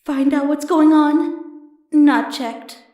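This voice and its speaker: Young female cartoon pony princess played by a woman: high, slow, weary and uneasy, echoey with music behind. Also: fairly even intonation, dark tone. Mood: anxious checking. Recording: echoey voice over background music.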